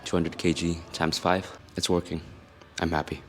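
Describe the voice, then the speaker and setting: A man's voice: deep voice, little pitch movement, moderate pace, fairly bright tone, faint music behind. A young Korean man, a native Korean speaker, narrating his lifting vlog in a put-on British-leaning accent, candid and self-mocking with casual swearing. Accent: put-on British-leaning English accent. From South Korea. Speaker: powerlifting vlogger.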